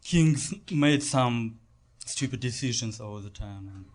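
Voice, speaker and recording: Slow, halting man with a Russian accent. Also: medium pitch. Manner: halting, hesitant. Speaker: guest on a comedy show. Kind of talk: casual chat.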